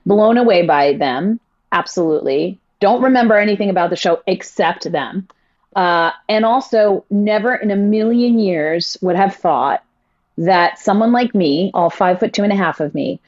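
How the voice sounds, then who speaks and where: A stage actress telling stories in a casual interview, effusive and quick with quoted asides. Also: female voice, medium pitch, darkish tone, dull audio.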